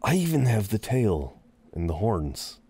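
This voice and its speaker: A man playing an amused, seductive incubus in an intimate roleplay audio drama, teasing and soothing in an unhurried, halting way. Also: on the low side, sing-song, mellow, breathy edge, exaggerated voice. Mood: calm, curious. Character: amused, reassuring, seductive male incubus innkeeper.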